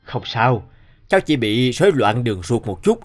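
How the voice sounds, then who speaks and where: Cartoon hospital doctor: reassuring, brisk, medium-pitched with lively intonation. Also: male voice.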